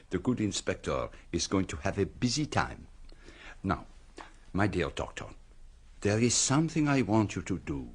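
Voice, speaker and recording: An actor in a radio drama playing a shrewd, courteous detective, formal, deliberate and slyly knowing. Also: male voice, sits low, very animated, slightly rough, exaggerated voice. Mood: amused, sly. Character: shrewd, courteous, self-satisfied Belgian detective.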